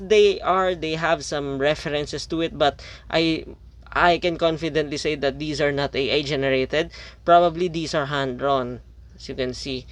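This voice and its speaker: A man's voice: a little high, fairly bright tone. A man whose native language is Filipino, casually reviewing a product on camera, with frequent fillers, restarts and "okay" checks between points. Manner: hesitant, many fillers and restarts.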